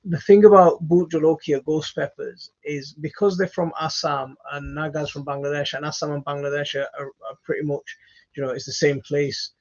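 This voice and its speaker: A man's voice: on the high side, fairly even intonation, normal speed, a little mellow, dry recording, muffled recording. A man in his thirties from Leeds with a Yorkshire accent. He hosts a gardening channel and rambles through a casual live-stream chat with viewers, using lots of fillers and enthusiastic repeats. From Leeds, Yorkshire, England. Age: 30s. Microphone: phone.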